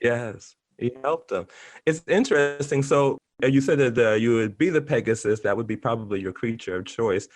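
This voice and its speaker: A middle-aged man chatting casually as an interview guest, talking in an animated, effusive and rambling way. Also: mid-range voice, normal speed. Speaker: interview guest, artist and teacher.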